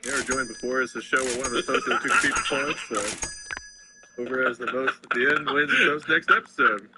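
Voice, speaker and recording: A man's voice: mid-range voice, fairly quick, heavy background noise. A man hosting a casual podcast chat, joking and ironic, who sometimes trips over his words. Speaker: podcast host.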